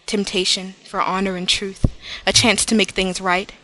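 A woman giving a dramatic reading as an earnest, wistful narrator of a romantic tale, deliberate and theatrical. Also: mid-range voice, level tone, sharp tone, pitched below the performer's own voice, natural voice, low-quality recording. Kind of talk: dramatic reading of fan fiction.

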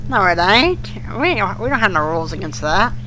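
A man co-hosting a podcast in casual chat, with a folksy, halting, colloquial way of talking. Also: very animated, pushed and bright. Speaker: podcast co-host. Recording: noisy recording with humming rumble.

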